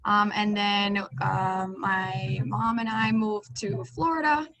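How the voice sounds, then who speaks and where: A young woman from Venezuela chatting as a dance guest in a casual podcast interview, quick to laugh. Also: mid-range voice, steady tone, crowd noise.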